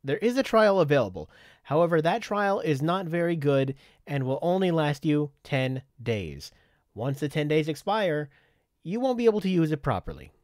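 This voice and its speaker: A man giving a scripted software tutorial in a methodical, instructional way, as a voice-over on a close microphone. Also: fairly high pitch, no room sound. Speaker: tutorial presenter.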